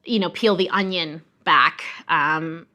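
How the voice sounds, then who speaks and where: A woman's voice: low-pitched, slow pace, sharp tone. A woman guest expert explaining history in a conversational, emphatic, teacherly way. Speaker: guest expert, museum director of education.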